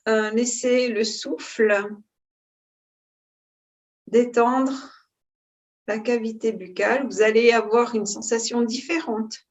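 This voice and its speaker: A woman teaching yoga, giving calm, unhurried and soothing guidance on posture and breathing. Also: fairly high pitch, highs cut off. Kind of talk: guided yoga and breathing instruction.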